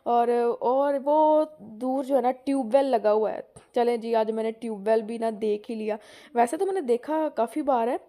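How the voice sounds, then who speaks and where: A woman's voice: fairly high pitch, warm tone. A young woman from Pakistan, chatting casually in a vlog, rambling with fillers and laughing often as she films on her phone while walking through a village and around her home. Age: young adult. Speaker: vlogger. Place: outdoors on village roads, then at home. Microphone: phone camera held while walking.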